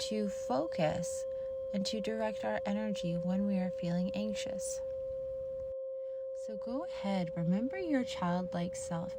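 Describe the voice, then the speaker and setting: A woman narrating a guided meditation in a soft, soothing manner, with long pauses between short phrases. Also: medium pitch, very noisy. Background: meditation music.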